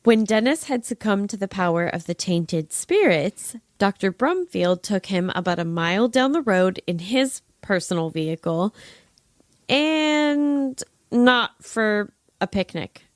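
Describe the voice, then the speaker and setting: Woman podcaster telling a scripted tale, super expressive pitch, dry close sound, wry n playful w chatty asides. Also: unhurried, dry recording. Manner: wry, playful narration with old-fashioned flourishes. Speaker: true-crime podcast host. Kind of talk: scripted storytelling with casual chat asides.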